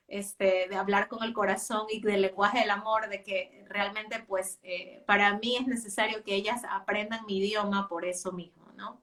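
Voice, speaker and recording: A woman's voice: medium pitch, fairly bright tone, recorded from a distance. A woman, a native Spanish speaker, talking as a guest about homeschooling, with many fillers and restarts. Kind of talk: casual interview talk.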